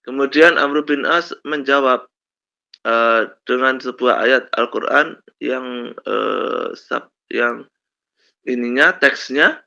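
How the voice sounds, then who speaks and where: Man, lecturer, slight cold, slow pace, bright voice, dull lo-fi sound. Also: medium pitch, creaky. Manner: slow storytelling with many fillers and "kan begitu ya". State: has a cold, coughs. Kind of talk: university lecture on Islamic law. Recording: dull lo-fi recording.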